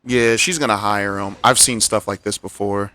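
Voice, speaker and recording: A man's voice: fairly low pitch, fairly even intonation, normal speed, bright, forceful tone, dry recording. A man streaming a video game, reacting casually in informal, slangy speech.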